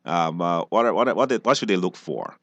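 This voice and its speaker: A man's voice: low-pitched, expressive, a little fast, fairly bright tone, dry recording, dull audio. Male talk show host, affable and loose, repeats himself, dry studio sound. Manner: affable, hesitant phrasing with repeated words. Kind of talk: casual on-air interview.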